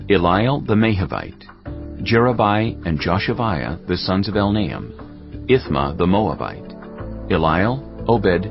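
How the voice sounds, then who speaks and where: A man narrating scripture from a script in a measured, solemn manner. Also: low voice, dull audio. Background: background music.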